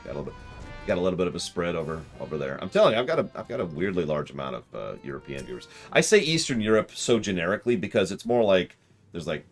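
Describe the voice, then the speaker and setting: Deep-voiced rambling streamer man. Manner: rambling, self-deprecating, thinks aloud. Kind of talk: casual live-stream chat while gaming.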